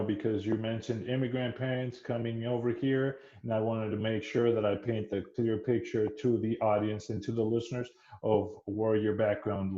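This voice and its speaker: A man's voice: lowish voice, dark tone, roomy sound, muffled recording. A man hosting an interview podcast, with a formal, earnest way of speaking that often repeats words. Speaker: podcast host.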